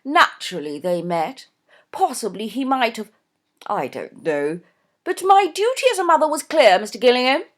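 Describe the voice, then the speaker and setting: Casting: gushing plump middle-aged mother, shrill and insistent, read by a woman. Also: sing-song, normal speed. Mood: emphatic. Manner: insistent, fussy, high-pitched exclamations.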